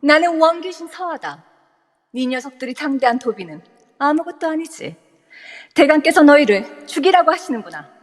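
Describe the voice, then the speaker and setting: A woman's voice: high voice, very animated, moderate pace. A woman voicing a vengeful young female ghost who threatens and commands in an amateur audio drama. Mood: menacing. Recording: long echoey reverb.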